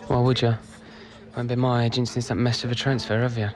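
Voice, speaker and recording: An actor voicing a blunt, matey footballer. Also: male voice, medium pitch, flat delivery, fast, natural voice. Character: young male footballer friend, blunt and chummy. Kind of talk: video game cutscene dialogue.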